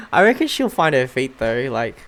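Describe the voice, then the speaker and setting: Low-voiced woman streamer, giggly and informal. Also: wildly swooping pitch, unhurried, a bit bright. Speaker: gameplay streamer. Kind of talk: casual gameplay commentary. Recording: faint game music behind.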